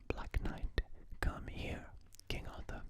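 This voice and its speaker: Slow, hushed ASMR whisperer. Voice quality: breathy unvoiced whisper. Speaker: ASMR host. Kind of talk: whispered ASMR quote reading.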